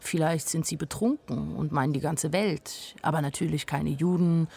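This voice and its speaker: A woman's voice: low-pitched, steady tone, moderate pace, vocal fry, darkish tone, husky, heavily acted. An actress playing a candid, naive nineteen-year-old woman who tells her own story plainly in a radio drama. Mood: matter-of-fact. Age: young adult. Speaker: actress narrating as the main character. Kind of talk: radio drama first-person narration.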